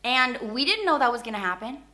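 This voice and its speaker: A woman vlogger speaks in a somewhat high, sharply bright voice. She rants informally to her viewers with animated, opinionated delivery. Indonesian words and phrases slip easily into her English. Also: brisk. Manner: animated, informal, acts out short imagined exchanges. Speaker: YouTube host. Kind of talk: casual opinionated rant to viewers.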